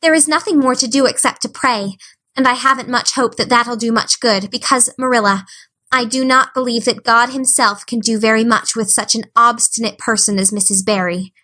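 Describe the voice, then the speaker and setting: A woman's voice: a little high, fairly even intonation, moderate pace, sharp tone. A woman voicing a dramatic, eager orphan girl of about eleven who speaks in flowery, pleading words. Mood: despairing.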